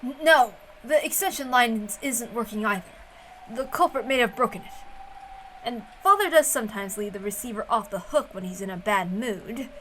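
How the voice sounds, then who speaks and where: A woman reading a hesitant, defensive middle-aged elder brother in a visual novel, in a measured, halting way. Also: fairly high pitch, animated, fairly bright tone, slight background noise, low-quality recording. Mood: flustered.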